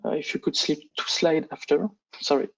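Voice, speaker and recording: A man who speaks English as a second language gives a business presentation on a conference call, with halting delivery and frequent false starts. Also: fairly high pitch, steady tone, creaky, soft background music, low-quality recording. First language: not English. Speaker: fund analyst presenting on a panel.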